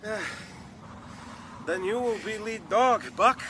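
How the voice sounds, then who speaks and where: Excitable high-pitched sled driver. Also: male voice, slow, slight background noise, full character voice. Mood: fond, marveling. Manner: exclamatory, calling out in broken bursts. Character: excitable, fond male sled driver in the frozen North. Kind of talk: radio drama acting.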